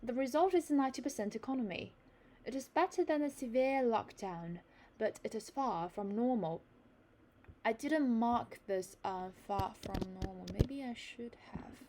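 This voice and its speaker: A woman's voice: on the high side, normal speed, dark tone, rough recording. A young Chinese woman tutoring English in a learned British accent, reading an article aloud and then explaining it with fillers and restarts.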